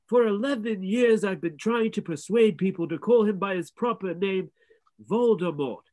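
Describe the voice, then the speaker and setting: A man reading a story aloud, putting on a gentle, very old wizard with a raised, slow, kindly voice, set back from the mic. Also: high-pitched, voice pushed up, close to an everyday voice.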